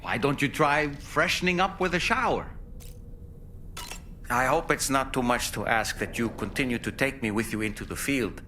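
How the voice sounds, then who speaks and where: A man's voice: medium pitch, moderate pace, bright, forceful tone, a little hiss or hum, close to an everyday voice. A man playing a talkative, courteous companion in a sci-fi video game, speaking politely with a slightly formal, wry manner. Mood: polite, friendly. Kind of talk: scripted video game character dialogue.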